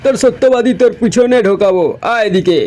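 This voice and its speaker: A man's voice: very high-pitched, fast talker, heavy background noise. A man voicing a hot-tempered, bullying policeman in a comic cartoon dub, scolding and threatening in exasperation. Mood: exasperated. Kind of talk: comic Bengali cartoon dubbing.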